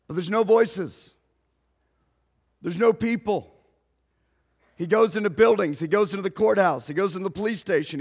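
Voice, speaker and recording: A male pastor, telling a story in a sermon slowly and deliberately, raising his voice at dramatic moments. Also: sits high, creaky. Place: church sanctuary. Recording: long hall reverb.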